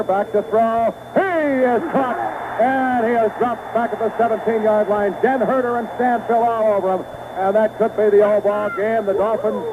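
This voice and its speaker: A man doing live radio play-by-play of a football game in short, clipped phrases. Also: high voice. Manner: clipped phrases, calling action as it happens. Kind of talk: live sports commentary. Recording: noisy phone-like vintage radio broadcast.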